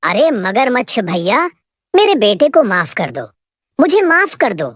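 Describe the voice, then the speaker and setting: A woman's voice: a little high, sing-song, unhurried, no room sound, studio quality. A woman voicing a humble, worried father deer who speaks earnestly and emotionally in a cartoon moral story. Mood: pleading.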